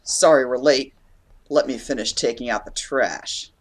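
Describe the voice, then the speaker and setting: An actress playing a gruff, swaggering starship bodyguard in an audio drama. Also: female voice, very deep voice, unhurried, soft background music.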